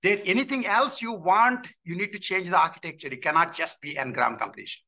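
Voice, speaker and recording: A man giving a keynote talk, his voice high and bright. He is lively and chatty, often asking "right?". He was recorded from some distance in an echoey hall. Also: a little fast. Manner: animated, tells jokes, frequent "right?" and "you know". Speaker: keynote speaker, professor. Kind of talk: lecture with audience questions. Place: lecture hall. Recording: echoey hall, speaker off-mic.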